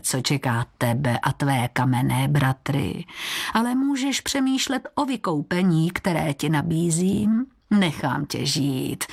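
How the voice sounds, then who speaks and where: A woman's voice: fairly low pitch, slightly rough. A woman voicing a sinister, mocking goddess of death in a storybook, taunting with purring menace.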